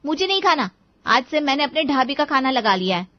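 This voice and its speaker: A woman's voice: high voice, big pitch swings, brisk, no room sound, highs cut off, pitched above the performer's own voice. A woman voicing a spoiled, sulky teenage daughter in a cartoon moral story, whining and snapping petulantly. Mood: defiant.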